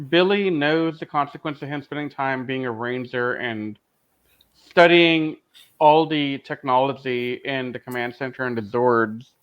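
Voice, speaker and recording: A man co-hosting a casual fan review podcast, making his points in a deliberate, emphatic way. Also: mid-range voice. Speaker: podcast co-host. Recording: slightly dull, lo-fi microphone sound.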